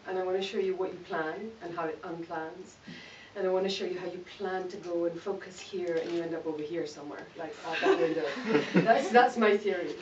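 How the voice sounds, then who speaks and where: A woman's voice: fairly low pitch, steady tone, a little fast, reverberant. Brief: middle-aged woman from Malawi, candid guest speaker, distant mic, dull noisy sound. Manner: candid, self-mocking, laughs often. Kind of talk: conversational talk to an audience. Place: event hall.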